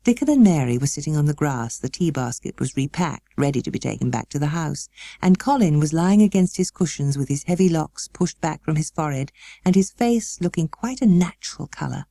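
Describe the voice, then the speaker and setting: A woman with a British accent narrating a children's novel as an expressive audiobook reader. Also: low voice, a little fast, gravelly, no room sound. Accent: British English. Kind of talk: expressive scripted storybook narration.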